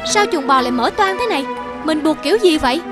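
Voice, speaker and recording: A woman's voice: high voice, expressive, quick pace, over music. A woman voicing a scolding, exasperated peasant wife in a folktale cartoon, nagging and sarcastic. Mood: worried, nagging.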